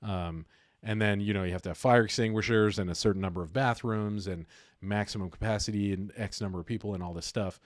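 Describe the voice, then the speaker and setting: A man's voice: fairly low pitch, fairly even intonation, fast talker, dry recording, studio quality. A man hosting a casual livestream, talking informally and thinking aloud as he goes. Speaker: livestream host. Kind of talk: casual livestream chat about investing.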